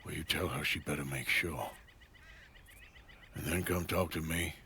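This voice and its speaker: An actor playing a weary, protective middle-aged outlaw in a video game, grave and plain-spoken, with an American Western drawl. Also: male voice, rumbling low, a little slow, sharp tone, slight background noise, exaggerated voice. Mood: weary, disillusioned. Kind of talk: dramatic video game acting.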